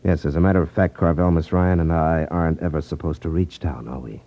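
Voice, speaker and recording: An actor playing a shrewd, unflappable detective, wry and self-assured, in a 1950s radio drama. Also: male voice, extremely low pitch, brisk. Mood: wry, challenging. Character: shrewd, unflappable male detective, coolly needling a crook. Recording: muffled vintage 1950s radio recording.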